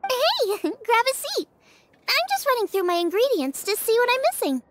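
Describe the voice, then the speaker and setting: A woman's voice: extremely high pitch, very animated, moderate pace, background noise. A woman voicing a cheerful, friendly teenage girl cook in an anime-style video game, upbeat and casual. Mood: welcoming. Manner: upbeat, casual, food-minded. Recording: music under the voice. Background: game music.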